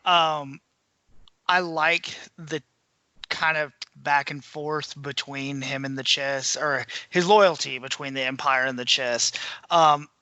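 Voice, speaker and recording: A man with a somewhat high, strikingly bright voice talks as a podcast panelist. His delivery is casual and measured, a little tentative. The sound is dry. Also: steady tone, smooth, no room sound. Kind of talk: casual book discussion.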